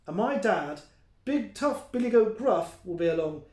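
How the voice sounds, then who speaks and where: Guy doing a little boy goat, high voice, cheeky n polite, sing-song, echoey room. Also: animated, unhurried, a little mellow. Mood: polite pleading. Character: cheeky young male billy goat, small, clever, pleading. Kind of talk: children's storybook character voice. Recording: roomy, echoing indoor sound.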